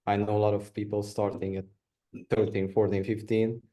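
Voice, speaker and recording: Young man, mellow voice. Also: fairly low pitch, flat delivery, moderate pace. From Romania. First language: Hungarian. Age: young adult. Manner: hesitant, repeats words, plain and friendly. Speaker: guest, video editor. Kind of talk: casual interview answers. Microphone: video call.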